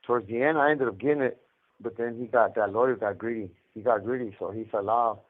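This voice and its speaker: Male inmate storyteller, mid-pitched, casual slangy talk with cursing, narrow muffled phone-call sound. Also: fast talker. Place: jail or prison phone line.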